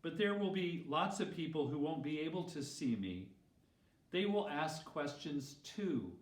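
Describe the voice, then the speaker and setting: A man voicing a gentle, patient, reassuring male teacher in a children's Bible story, explaining kindly. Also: on the high side, animated, unhurried. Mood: welcoming, gentle. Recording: roomy echo, distant microphone.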